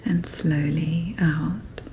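A woman narrating a guided sleep meditation in a soft, breathy, whispery, soothing manner. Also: deep voice, steady tone, extremely slow, slight background noise, rough recording.

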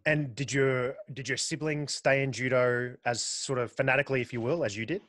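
A man's voice: mid-range voice, fairly even intonation, fairly quick, fairly bright tone, no room sound. A man in his thirties hosting a podcast interview, asking questions in an upbeat way. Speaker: podcast host. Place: home studio. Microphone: studio mic with pop filter. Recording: dry close studio mic.

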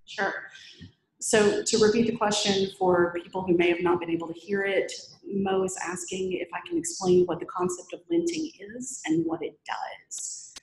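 A woman's voice: mid-range voice, moderate pace, a little hiss or hum. A woman giving a chatty, humorous talk to a meetup audience and taking questions. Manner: lively, chatty, self-deprecating humor. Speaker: conference speaker. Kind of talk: presentation talk with Q&A. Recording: echoey room.

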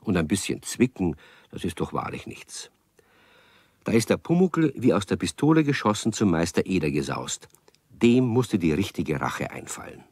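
A man's voice: deep voice, big pitch swings, normal speed, darkish tone, husky, no echo. A man narrating a children's radio play as a scripted storyteller.

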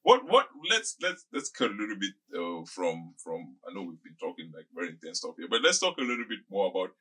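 A man's voice: low voice, huge pitch swings, a little fast, bright, forceful tone, husky. A man in his thirties hosting a podcast interview in a conversational way, wordy and repeating phrases as he thinks aloud, at a close studio microphone. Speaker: podcast host. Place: small indoor podcast studio. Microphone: desk microphone close up, with headphones.

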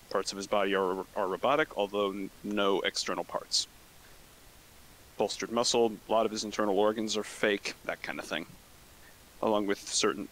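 A man's voice: on the low side, fairly bright tone, a little hiss or hum, poor audio. A man playing a tabletop role-playing game, laying out his character's backstory with a wry, self-mocking tone. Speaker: player.

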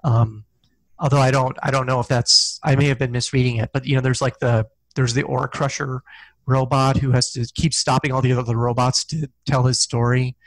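A man's voice: mid-range voice, flat delivery, moderate pace, dry recording, poor audio. A man in his forties, an author guest on a video call, talking casually with many ums and restarts through a headset mic in a small office. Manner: hesitant, many ums and restarts, gestures with hands. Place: small office with corkboard, indoors.

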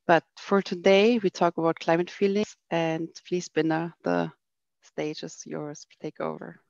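A young woman hosts an online event over a headset mic, briskly handing the stage to the moderator. Her voice carries a gravelly edge. The sound is dry and a little dull. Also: fairly low pitch, little pitch movement, a little mellow, no echo. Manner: brisk, welcoming handover. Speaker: event host. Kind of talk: event introduction. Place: office.

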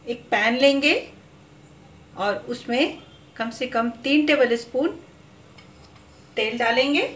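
A woman narrates her recipe step by step in a calm, instructive way, mixing English cooking terms into Hindi. Her voice sits slightly high. The recording carries a roomy kitchen echo and faint background noise. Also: slow pace, pauses often. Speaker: cooking channel host.

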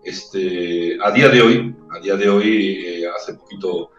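A man from Spain with a Castilian accent talks casually as an interview guest, often repeating words while he gathers his thoughts. His voice sits fairly low. He sounds roomy and distant, as if on a video call set up away from the mic. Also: unhurried, fairly bright tone, dull audio. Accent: Spanish from Spain. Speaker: opera-trained ranchera singer, guest. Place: indoor room with brick wall. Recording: echoey room, far from the mic, faint music.

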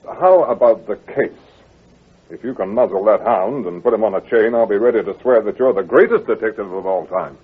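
An actor playing a genial, trusting gentleman heir in an old radio drama, husky and a little hesitant, on a muffled, tinny recording. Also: lowish voice, expressive, fairly quick. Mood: agreeable. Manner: hesitant, stammering, hearty.

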